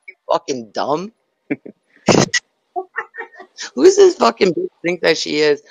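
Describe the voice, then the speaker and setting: Woman cursing and taunting real slow on a phone, dry close sound. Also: fairly low pitch, huge pitch swings, gravelly, breathy edge, no room sound, rough recording. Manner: aggressive, profane, laughing taunts. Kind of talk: heated insult exchange in a live audio chat room.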